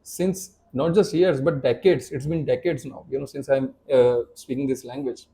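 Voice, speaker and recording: An earnest young man with an Indian accent talks straight to camera in a loose, unscripted lesson. His voice is mellow and sits mid-range, and he circles back on words often, stacking little fillers as he thinks. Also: mid-range voice, steady tone, normal speed, vocal fry. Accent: Indian English. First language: Hindi. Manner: earnest, many repeats and fillers. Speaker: English teacher. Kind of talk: casual to-camera talk.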